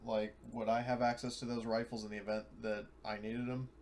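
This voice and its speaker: A man's voice: mid-range voice, flat delivery, fairly quick, darkish tone. A man chatting casually as a player in a tabletop roleplaying game, talking in a loose, rambling way. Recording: noisy room ambience on recording.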